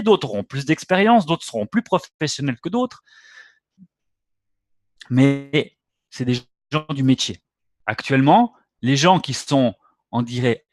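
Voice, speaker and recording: A man's voice: mid-range voice, no echo. A man hosting a trading webinar, talking bluntly and lecturing his viewers directly. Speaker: webinar host.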